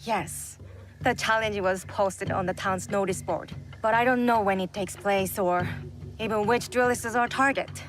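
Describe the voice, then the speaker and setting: Actress as female game informant: composed, measured, matter-of-fact, mid-range voice. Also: medium pitch, close to an everyday voice. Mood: composed, informative. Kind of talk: video game cutscene acting. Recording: faint music under the voice.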